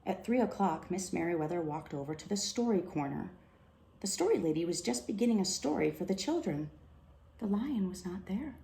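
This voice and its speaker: A woman reading a children's storybook aloud in an expressive narrating style. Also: on the low side, a little fast, vocal fry. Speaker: storybook reader. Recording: roomy echo, faint background noise.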